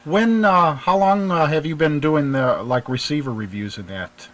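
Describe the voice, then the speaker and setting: A man's voice: fairly high pitch, normal speed, fairly bright tone. Man asking a radio guest questions. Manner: inquisitive, short questions with fillers. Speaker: radio show host. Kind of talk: interviewing a guest.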